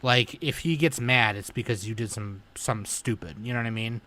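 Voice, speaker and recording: An animated male podcast guest telling rambling stories and breaking into impressions of people he knows. His pitch is mid-range for a man, and he talks in a casual, loose way. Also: a little fast. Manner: animated storyteller who slips into impressions.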